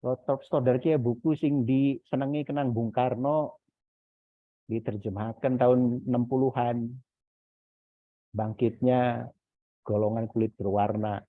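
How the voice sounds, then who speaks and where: A man's voice: mid-range voice, fairly even intonation, measured pace, long pauses. A man giving informal, didactic feedback as a university lecturer in an online class. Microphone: video call. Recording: echoey, phone-like video-call audio.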